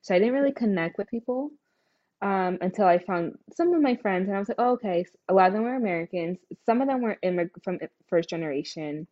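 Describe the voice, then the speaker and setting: A woman's voice: medium pitch, animated, fast. A young woman co-hosting a podcast, rambling casually and correcting herself with "you know" and "like". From New Jersey, US. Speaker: podcast co-host. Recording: dull lo-fi podcast audio.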